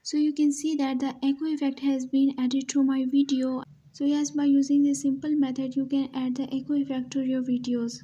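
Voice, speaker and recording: Woman doing a how-to voiceover, really high voice, pretty flat melody. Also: moderate pace, highs cut off. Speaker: tutorial host. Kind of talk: scripted how-to tutorial narration.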